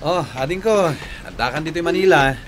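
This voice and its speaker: A man playing an easygoing husband and protective older brother in a radio drama, casual and unhurried, with an Ilocano accent. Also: fairly high pitch, slight background noise, natural voice. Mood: welcoming.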